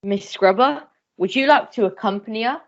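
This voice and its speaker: A woman's voice: fairly high pitch, normal speed, highs cut off, natural voice. A woman playing a blustering, hearty upper-class major and father in a melodramatic radio play. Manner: pompous, hearty.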